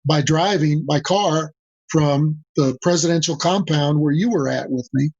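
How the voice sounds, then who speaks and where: An older man from Mexico whose first language is Spanish, a guest on a podcast interview, talking in a measured way and repeating key phrases for emphasis. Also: on the high side, a little mellow, husky, no echo. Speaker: guest, senior advisor. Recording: dull dry lo-fi audio.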